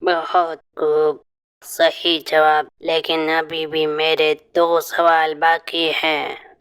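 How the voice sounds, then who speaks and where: Cartoon bandit ghost acted by a man: stern, menacing, very flat monotone, slow, very smooth resonant tone. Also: fairly high pitch. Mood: grave, testing. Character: ghost of a male bandit guarding treasure, stern. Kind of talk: Hindi fairy-tale cartoon dub.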